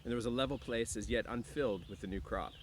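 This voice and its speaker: A man's voice: mid-range voice, fast talker. A man with an easygoing teacher's delivery, talking to students and reading a book aloud outdoors. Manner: frequent throat clearing. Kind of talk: casual chat to students and book read-aloud. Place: outdoors near woods. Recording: clear outdoor background noise.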